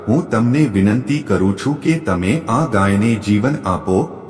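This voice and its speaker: A man voicing a devoted king who earnestly pleads for a cow's life in a storybook tale. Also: on the low side. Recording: long hall reverb.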